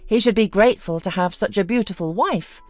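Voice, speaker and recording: A woman's voice: mid-range voice, fairly quick, darkish tone, dry recording, soft background music, close to an everyday voice. A woman voicing a haughty, scornful, domineering businesswoman with a mocking, theatrical manner in a narrated story.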